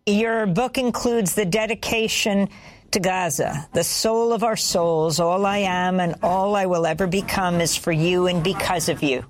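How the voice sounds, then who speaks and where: A woman hosting an interview, asking careful, gently probing questions. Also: lowish voice, a little slow, a little hiss or hum. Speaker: interview host. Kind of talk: radio interview.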